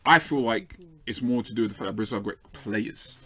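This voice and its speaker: A man with a South London accent, arguing football opinions as a fan show pundit in an animated, rapid-fire way. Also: fairly low pitch. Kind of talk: lively football debate.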